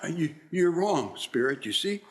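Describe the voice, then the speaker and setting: A man playing an excitable, wistful old miser in a theatrical radio play, exclaiming and stammering when moved. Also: fairly high pitch, normal speed. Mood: wistful, stirred.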